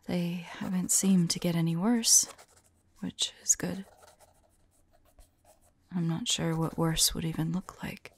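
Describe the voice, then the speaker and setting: A woman playing a weary, devoted vampire lover in an ASMR audio roleplay, speaking softly and haltingly in a breathy, whispery voice. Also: on the low side, heavily acted. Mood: sorrowful. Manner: gentle, halting, hushed delivery. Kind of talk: ASMR audio roleplay, soft intimate acting.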